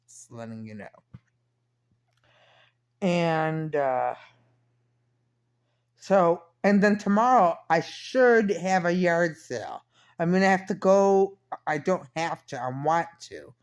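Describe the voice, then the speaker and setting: A woman's voice: on the low side, takes it slowly, pauses often. A woman in her fifties chatting casually in a rambling personal diary style, often repeating and correcting herself. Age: 50s. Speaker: personal vlogger.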